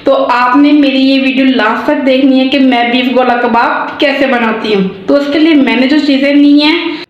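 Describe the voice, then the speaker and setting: Woman, Pakistani Urdu accent, high voice, calm recipe walkthrough, echoey home kitchen, dull phone audio. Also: moderate pace. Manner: calm instructional, listing measures. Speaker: cooking channel host. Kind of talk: step-by-step recipe narration.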